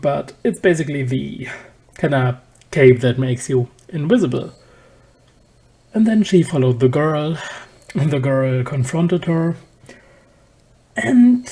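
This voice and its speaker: Slow-paced, dark-toned anime reviewer. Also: mid-range voice. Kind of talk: casual episode review.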